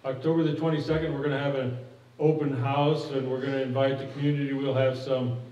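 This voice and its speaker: A man's voice: medium pitch, flat delivery, fast, mellow. An older man speaking as a project manager at a public meeting, folksy and plainspoken, often restarting his phrases. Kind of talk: informal public presentation with audience questions. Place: stage of a hall with curtains. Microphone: podium mic, at a distance. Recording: echoey hall, mic at a distance, dull sound.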